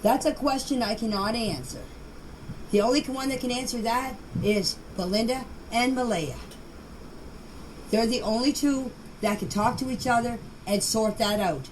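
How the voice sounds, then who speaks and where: A middle-aged or older woman speaking off the cuff in voice messages, defensive and insistent, often stumbling and repeating herself. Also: fairly high pitch. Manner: halting, stumbling over words and names. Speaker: member of an online group chat sharing voice messages. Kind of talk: casual unscripted voice messages. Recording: noisy amateur voice message recording.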